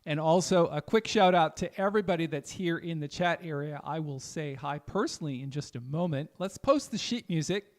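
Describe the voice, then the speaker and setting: A man with a raspy voice, chatting casually while teaching jazz piano on a livestream. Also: a little high, fairly quick, few pauses. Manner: relaxed, chatty, addresses chat viewers.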